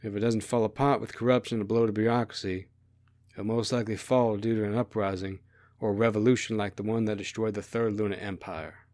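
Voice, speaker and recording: A man's voice: mid-range voice, a little fast, lightly acted. A man voicing a blunt, probing republican who argues against royalty in a story. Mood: subdued, grim.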